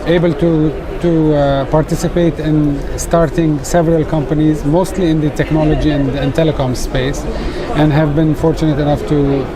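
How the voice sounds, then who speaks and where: A man who grew up in Kuwait, a company CEO answering interview questions on a trade show floor, pausing often with fillers and restarts. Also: on the high side, flat delivery, poor audio. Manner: hesitant, many fillers and restarts. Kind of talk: casual business interview. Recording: loud trade show crowd behind.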